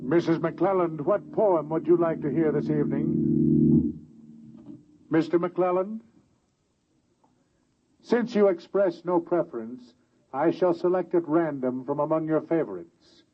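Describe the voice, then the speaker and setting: A man playing a polite automated household machine, formal and measured, in a 1950s radio drama. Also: a little high, close to an everyday voice. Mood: polite, impassive. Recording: muffled old radio recording.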